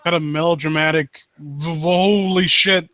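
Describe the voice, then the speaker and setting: A man hosts a casual critique session with a sharp, bright voice that sits slightly high. He is sarcastic and foul-mouthed, slipping between reading aloud and riffing. The sound is close and dry. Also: fairly even intonation, unhurried, no room sound. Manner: sarcastic, mocking, sweary. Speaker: host reading and critiquing horror stories live. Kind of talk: casual riffing commentary with read-aloud passages.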